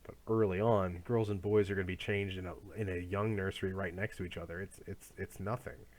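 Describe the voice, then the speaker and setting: Low-voiced man giving a casual lecture. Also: steady tone, brisk, darkish tone. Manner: loose, conversational, restarts often. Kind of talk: recorded college lecture.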